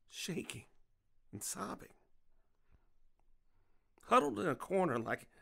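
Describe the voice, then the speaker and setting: An American man acting in an audio drama as a middle-aged, menacing, vengeful father, speaking with deliberate, controlled menace. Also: sits high, vocal fry, pushed and bright, exaggerated voice.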